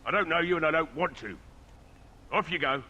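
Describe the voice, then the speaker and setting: An actor voicing a bitter, cantankerous village blacksmith, curt and surly, in a fantasy video game. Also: male voice, a little high, fairly quick, bright, forceful tone, husky, lo-fi sound, heavily acted. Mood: surly, suspicious. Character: bitter, cantankerous male village blacksmith locked in his smithy. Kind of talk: video game character dialogue.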